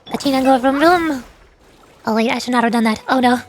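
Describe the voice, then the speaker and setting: Very high-pitched woman streamer, playful. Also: brisk, slight background noise. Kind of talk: playful game stream commentary and character read-aloud.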